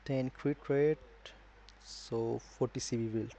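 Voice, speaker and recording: A male live streamer with a Nepali accent and a dark, mellow, mid-pitched voice. He gives casual gaming commentary in a halting way, thinking aloud as he talks to viewers. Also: steady tone, slight background noise.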